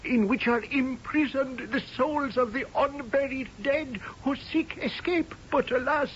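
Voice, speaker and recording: High-pitched, deliberate Indian houseboy. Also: male voice, fairly bright tone, a little hiss or hum. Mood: wry, storytelling. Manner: ornate, formal, portentous phrasing. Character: Indian houseboy, deferential, solemn, privately scornful of the English. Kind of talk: radio drama acting and narrating in character. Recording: dull lo-fi old radio, music behind.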